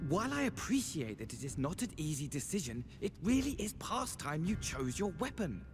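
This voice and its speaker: A man playing a courteous game character with a formal, measured way of speaking. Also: on the high side, sing-song, over music. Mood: politely urging. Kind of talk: video game cutscene acting.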